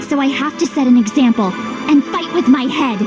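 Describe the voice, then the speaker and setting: An actress playing an earnest, determined young heroine in a video game cutscene, speaking emphatically over music. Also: female voice, high voice, lively intonation, normal speed. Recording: loud music behind.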